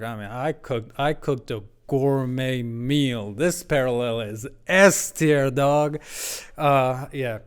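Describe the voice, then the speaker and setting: A man's voice: medium pitch, clear, resonant tone. A man giving casual, unscripted video game commentary in a slangy, jokey, emphatic way.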